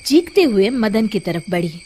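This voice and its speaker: A woman's voice: medium pitch, big pitch swings, normal speed, heavy background noise. A woman narrating a scripted children's moral story as a storyteller. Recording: background music under the voice. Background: music.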